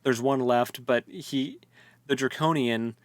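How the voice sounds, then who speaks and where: A man's voice: medium pitch, takes it slowly, no room sound. A man co-hosting a podcast, retelling a story in casual, slangy, jokey conversation. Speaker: podcast co-host. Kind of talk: casual book discussion chat.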